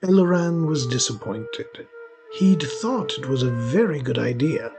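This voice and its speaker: A man narrates a scripted story. His voice sits at a middle pitch, and music runs clearly behind the storytelling. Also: animated, a little slow.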